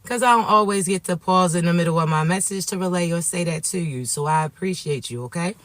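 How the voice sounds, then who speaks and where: A man with a raspy voice, sniffly from allergies, delivering a spiritual reading straight to his viewers and checking in with them often. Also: sits high, fast talker, no echo. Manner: warm affectionate address to viewers, repetitive "okay?" check-ins. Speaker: spiritual reader and coach. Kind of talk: direct-to-viewer spiritual message.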